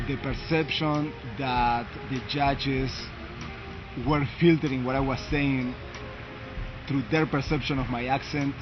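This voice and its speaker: Flat, measured man with a Uruguayan accent. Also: medium pitch. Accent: Uruguayan Spanish. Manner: measured and deliberate, searching for words. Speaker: law student. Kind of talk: personal video statement. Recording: muffled lo-fi recording with faint background music.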